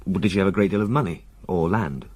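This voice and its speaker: An actor playing a polite, slightly unsure young English solicitor, deferential and earnest, in a radio drama. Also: male voice, deep voice, fast talker, darkish tone, breathy edge. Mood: inquiring. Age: young adult.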